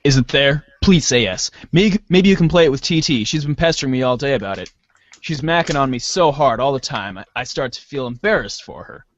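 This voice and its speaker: A man's voice: medium pitch, animated, brisk, lo-fi sound, lightly acted. A man voicing a cool, cocky teenage boy chatting with his friend in a comedic read-along. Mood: cocky, casual.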